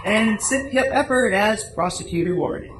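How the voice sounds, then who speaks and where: A man's voice: high voice, moderate pace. A man announcing from a script in an old-time radio style. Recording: echoey stage recording, clear noise.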